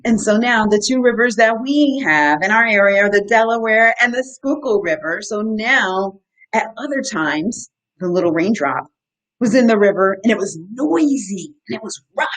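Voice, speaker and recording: A woman's voice: mid-range voice, moderate pace, rough recording. Woman leading an interactive children's story time. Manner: animated, asks the listeners simple questions. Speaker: outdoor educator reading to children.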